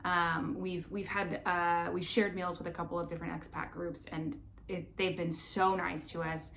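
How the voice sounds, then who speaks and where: A woman's voice: lowish voice, flat delivery, normal speed, creaky, a bit bright, slight background noise. A woman co-hosting a travel vlog, chatting casually with lots of fillers and restarts. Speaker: vlog co-host. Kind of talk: casual chat.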